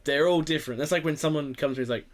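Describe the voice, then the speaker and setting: A man's voice: on the high side, rapid-fire. Rambling, sweary man hosting a podcast. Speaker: podcast host. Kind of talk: casual opinionated group chat.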